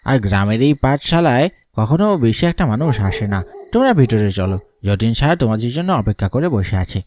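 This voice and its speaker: A man's voice: medium pitch, lively intonation, quick pace, darkish tone, slight background noise, close to an everyday voice. Man voicing a coaxing village school peon. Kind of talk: dubbed horror cartoon dialogue. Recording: music under the voice.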